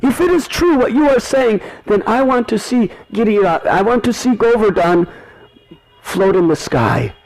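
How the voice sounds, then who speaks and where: A man voicing an anxious, loving village mother who frets and pleads in a high, tearful wail, within a devotional storytelling. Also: animated, normal speed, darkish tone, poor audio. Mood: doubtful.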